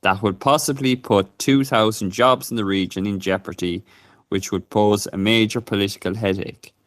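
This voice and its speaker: A man's voice: fairly low pitch, steady tone, normal speed, a bit bright, dry recording, studio quality. A man co-hosting a casual live stream, speaking hesitantly and reading a news report aloud. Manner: hesitant, many fillers and restarts. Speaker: co-host.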